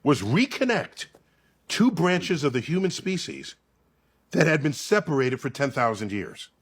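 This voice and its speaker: A man explaining science in conversation as a guest, emphatic and theatrical, telling it like a story with dramatic pauses and check-in questions. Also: on the high side, sing-song, slightly rough.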